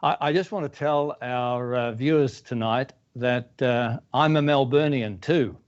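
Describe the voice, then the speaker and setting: A man's voice: mid-range voice, a little slow, highs cut off. A man with an Australian accent, from Melbourne, preaching as a pastor and telling a story, with frequent hesitations. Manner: storytelling, many uh fillers. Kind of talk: sermon.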